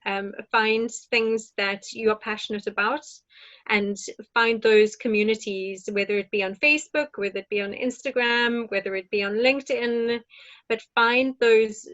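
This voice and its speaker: A woman's voice: fairly high pitch, steady tone, moderate pace, a bit bright. Earnest woman panelist on a muffled call. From moved abroad to Ireland. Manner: earnest, reflective, many restarts and ums. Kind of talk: online panel discussion.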